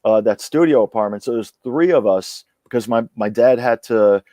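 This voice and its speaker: A man's voice: mid-range voice, normal speed, dark tone, no echo. A man talking casually as a guest over a video call, rambling with many fillers and restarts. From born in Iran, raised in England and the US. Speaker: guest musician. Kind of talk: casual interview chat.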